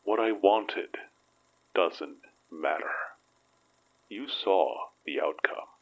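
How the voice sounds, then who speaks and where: An actor playing a weary, grieving father haunted by his lost son, speaking in a halting, hushed, husky voice for an audio drama. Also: heavily acted, poor audio. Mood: weary regret. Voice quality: husky, breathy low voice. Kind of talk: intimate audio drama acting.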